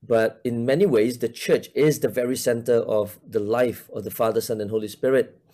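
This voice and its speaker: Male guest, 40s, from Malaysia; mellow, hesitant church minister, heavy on fillers, over a video call. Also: medium pitch, steady tone, normal speed. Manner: hesitant, many fillers and restarts. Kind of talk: casual interview talk.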